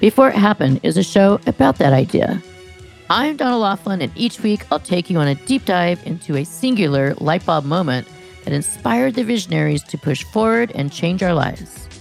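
A woman hosting a podcast, reading a scripted introduction in a measured, announcer-like way and interviewing a guest. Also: lowish voice, expressive, vocal fry, a little mellow, soft background music. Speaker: podcast host.

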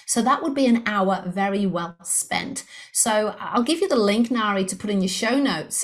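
A middle-aged woman from Liverpool, England, with an English accent blended with Australian, explaining sales techniques upbeat as a podcast guest. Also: medium pitch. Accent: English mixed with Australian. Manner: upbeat, explanatory, acts out inner voices. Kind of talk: podcast interview.

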